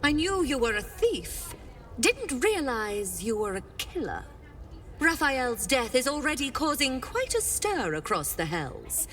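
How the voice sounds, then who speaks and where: An actress voicing a sly, silky devil merchant in a video game, with a teasing, knowing manner. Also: female voice, high voice, big pitch swings, measured pace, a bit bright, full character voice. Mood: sly, amused. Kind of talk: dramatic video game dialogue.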